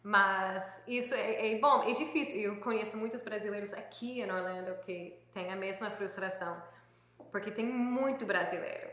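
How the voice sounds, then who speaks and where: Woman from the US, English native speaker, easy chatty delivery, mid pitch, very echoey room. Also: normal speed, fairly bright tone. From United States. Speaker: guest. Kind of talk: casual conversation.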